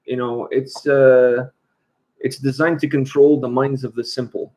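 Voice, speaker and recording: A man's voice: mid-range voice, level tone, measured pace, darkish tone. A man from Portugal hosting a casual livestream, chatting and answering viewer questions. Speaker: host.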